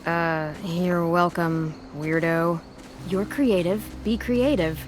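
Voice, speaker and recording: A woman playing a caring, steady teenage girl survivor in a video game, speaking with an American accent in a reassuring, dryly teasing way. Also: lowish voice, slow pace. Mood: playful, dry. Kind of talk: video game voice acting, casual dialogue. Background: background music.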